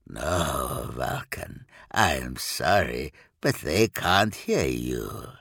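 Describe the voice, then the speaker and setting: A man voicing a dead warrior's bony apparition in an audiobook, solemn, husky, measured and fatalistic. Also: a bass voice, sing-song, a bit bright, dry recording, clean studio sound, voice pushed down, full character voice. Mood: grave regret.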